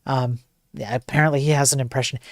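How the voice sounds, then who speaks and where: A man with a soft voice chatting casually to viewers as a livestream host, in an informal, joking, rambling way. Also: mid-range voice, steady tone, fast talker, dry recording, clean studio sound.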